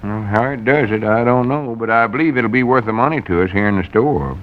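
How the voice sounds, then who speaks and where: An actor playing an eager, gullible small-town storekeeper in an old-time radio comedy, with a rural Southern accent and earnest backwoods talk. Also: male voice, mid-range voice, a little fast, a little hiss or hum, natural voice. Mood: defensive, persuading. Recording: muffled vintage radio recording.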